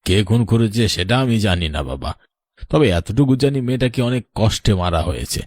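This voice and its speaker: A man voicing a grave, knowing older village man in a horror cartoon, solemn and measured like a storyteller. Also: lowish voice, expressive, darkish tone, no room sound, clean studio sound.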